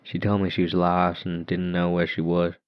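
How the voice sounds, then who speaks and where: A man with a very low voice tells a horror story in the first person, delivered as dramatic narration. The recording sounds dull, with the top end missing. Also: completely flat delivery, moderate pace, smooth.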